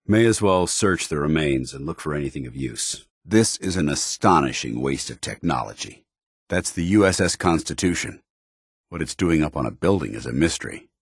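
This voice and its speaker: A very deep-voiced stern military paladin. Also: male voice, breathy edge, no echo, studio quality, heavily acted. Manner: formal, clipped, commanding military delivery. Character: stern, by-the-book military paladin, a principled male soldier. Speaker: video game companion character. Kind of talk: video game voice acting.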